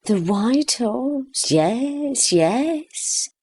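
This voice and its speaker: A woman playing a gossipy, easily awed household member in a comic play, drawn-out and exclamatory. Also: fairly high pitch, expressive, warm tone, slightly rough, full character voice. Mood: awed, knowing. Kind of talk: comic stage-play acting.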